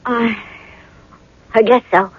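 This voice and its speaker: Very slow, guarded wealthy wife. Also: female voice, fairly high pitch, fairly even intonation, husky. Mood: wary, uneasy. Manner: hesitant, wary. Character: wealthy married woman, guarded and uneasy. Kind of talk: radio drama acting. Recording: noisy, muffled old radio recording.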